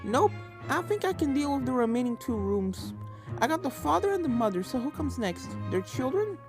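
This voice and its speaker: A woman's voice: sits high, very animated, normal speed, pitched above the performer's own voice. A woman voicing a plucky, stubborn young filly who hunts ghosts, spirited and determined, in a story reading. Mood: confident. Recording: music behind the voice.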